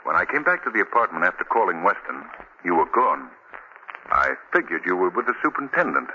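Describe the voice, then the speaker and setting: An actor playing a suave, self-assured crime fighter in a 1940s radio drama, authoritative and articulate. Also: male voice, low voice, sing-song, sharp tone, heavily acted. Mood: calm, explanatory. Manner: authoritative, measured, articulate explaining. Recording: dull, hissy old radio recording with clicks.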